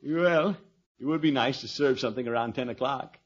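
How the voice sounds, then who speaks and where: A man's voice: medium pitch, fairly quick, natural voice. An actor playing a pompous, flustered middle-aged uncle in vintage radio comedy, blustering and chuckling as he mutters asides. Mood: fussy, pleased. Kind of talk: 1940s-50s radio comedy acting. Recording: muffled vintage radio recording.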